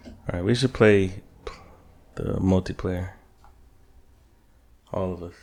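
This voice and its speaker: A man's voice: low-pitched, slow, darkish tone. A man co-hosting a podcast in a casual, joking conversational style. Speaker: podcast co-host.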